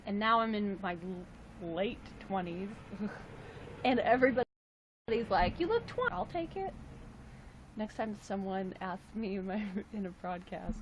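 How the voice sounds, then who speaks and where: A woman's voice: expressive, unhurried, pauses often, a little hiss or hum, poor audio. A woman in her late twenties hosting a casual livestream, chatting and joking with viewers. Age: 20s. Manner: chatty, jokey, with playful high exclamations. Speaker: livestream host. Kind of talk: casual livestream chat reading viewer comments.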